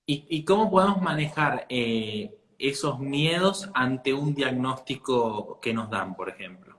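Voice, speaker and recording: An interviewer from asking hesitant questions with soft backchannel replies. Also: medium pitch, lively intonation, unhurried, echoey room, low-quality recording. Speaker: interviewer host.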